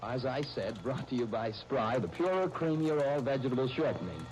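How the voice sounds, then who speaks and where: A man working as a radio announcer, giving a folksy, eager sponsor pitch in 1930s style. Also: lively intonation, moderate pace, a little mellow. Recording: noisy 1930s radio broadcast disc.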